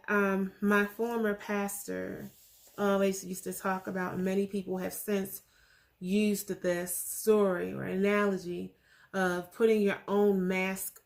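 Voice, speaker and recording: A woman in her forties talking earnestly about her faith in a casual vlog, pausing often with fillers. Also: mid-range voice, creaky. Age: 40s. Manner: earnest, halting with many fillers. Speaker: vlogger.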